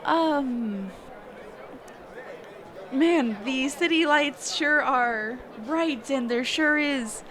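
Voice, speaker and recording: A woman voicing a reclusive, socially awkward mage teacher in a roleplay audio, speaking hesitantly and evasively with trailing pauses and hums. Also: high voice, fairly bright tone, heavily acted. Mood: awkward, curious. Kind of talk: character roleplay audio, one side of a dialogue.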